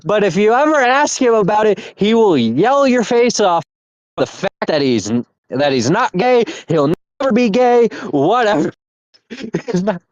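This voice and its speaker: A man's voice: sits high, very animated, a little slow, no echo. A young man in casual chat as podcast co-host, rambling with restarts and laughter. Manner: rambling, laughing, self-interrupting. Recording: dull lo-fi mic.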